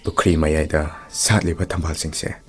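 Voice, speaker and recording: An actor playing an ardent, apologetic young lover in a romantic radio play, coaxing and earnest. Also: male voice, a bass voice, little pitch movement, very fast, faint music behind. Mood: tender, reflective.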